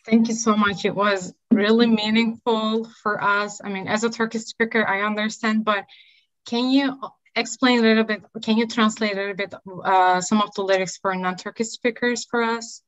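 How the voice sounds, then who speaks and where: A woman interviewing a guest, warm and encouraging, with loose casual phrasing. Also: mid-range voice, fairly even intonation, normal speed. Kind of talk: friendly interview. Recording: dull lo-fi sound.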